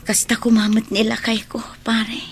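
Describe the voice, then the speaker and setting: A woman's voice: fairly high pitch, monotone, normal speed, slight background noise, natural voice. A woman with an Ilocano accent acting a long-suffering wife in a radio drama, confiding in a subdued, plaintive way. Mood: sorrowful.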